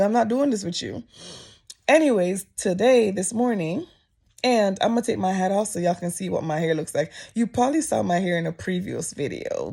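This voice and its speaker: Jamaican woman, casual vlogger telling a story, gravelly edge, lively quoting voices. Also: mid-range voice. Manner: animated storyteller, voice jumping up when quoting people. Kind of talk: casual storytime chat to camera. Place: office.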